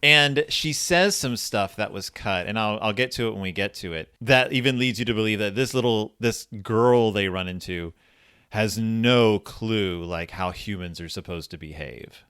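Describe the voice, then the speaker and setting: A man hosting a casual discussion, retelling stories and reading passages aloud in an animated storyteller's manner. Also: on the low side, normal speed, clean tone, no room sound, clean studio sound. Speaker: podcast host discussing horror fiction.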